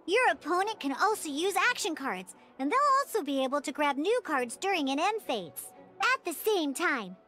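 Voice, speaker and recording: An excitable little video game mascot with an extremely high voice. The delivery is bubbly and emphatic, swooping in a lively sing-song over faint music. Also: female voice, full character voice. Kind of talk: animated game tutorial dialogue.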